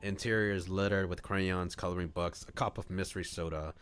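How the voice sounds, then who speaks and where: A man's voice: low-pitched, fairly even intonation, a little fast, fairly bright tone, clean tone, soft background music. A man streaming a read-aloud of a visual novel, narrating it as a storyteller. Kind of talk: storytelling narration with acted dialogue.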